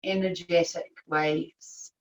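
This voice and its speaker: A woman teaching yoga nidra, guiding a meditation in a soothing, unhurried manner with long pauses. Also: lowish voice, steady tone. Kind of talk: guided meditation instruction. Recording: echoey room, distant microphone.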